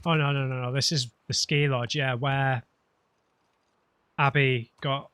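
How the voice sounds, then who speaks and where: A man commenting as he plays a game, a bit nasal and losing his voice from hay fever, musing aloud in a relaxed way. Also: mid-range voice, measured pace, smooth, no echo. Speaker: let's play gamer. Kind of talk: gameplay commentary.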